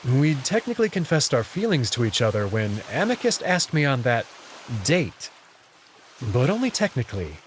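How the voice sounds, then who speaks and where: A man's voice: mid-range voice, sing-song, moderate pace, a little hiss or hum. A man giving a dramatic first-person narration of a visual novel story. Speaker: narrator and reader.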